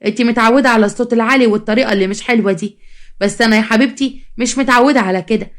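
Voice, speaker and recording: Woman doing a needling, fast-talking Egyptian mother-in-law. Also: fairly high pitch, close to an everyday voice. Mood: reproachful. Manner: sly, reproachful, mock-sweet. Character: meddling, needling mother-in-law of a new bride. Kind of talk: dramatized storytelling.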